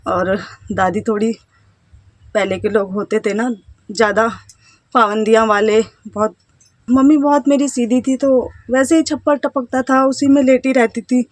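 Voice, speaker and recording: A woman in her thirties from India speaks to her viewers from home in a fairly high voice. She talks in a hesitant, halting way, trailing off and restarting her phrases. The sound is dry and close, with no room echo. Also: fairly even intonation. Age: 30s. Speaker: vlogger, housewife. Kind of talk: casual personal storytelling to camera.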